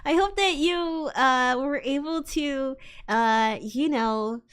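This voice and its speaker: A woman with a distinctly high voice hosts a casual livestream. She talks in loose, slangy, rambling chat and is prone to giggles. Her delivery is informal and addressed straight to her viewers. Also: takes it slowly, a bit bright. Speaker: livestream host.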